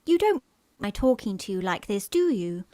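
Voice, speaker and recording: A woman's voice: medium pitch, big pitch swings, moderate pace, mellow, no echo, clean studio sound, close to an everyday voice. An actress playing a sweet, trusting young Victorian lady in a radio farce, earnest and polite. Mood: earnest, admiring.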